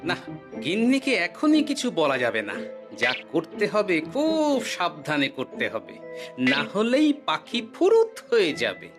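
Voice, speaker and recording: A woman's voice: medium pitch, huge pitch swings, measured pace. A woman voicing a put-upon, canny older father-in-law in a cartoon, grumbling and indignant, with music behind. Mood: canny, guarded. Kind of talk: cartoon voice acting.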